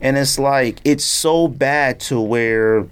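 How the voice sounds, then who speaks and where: A man's voice: medium pitch, slow, clean tone. A middle-aged man hosting a podcast, talking casually and emphatically, rambling and repeating himself to stress his opinions. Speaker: sneaker podcast host. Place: podcast studio.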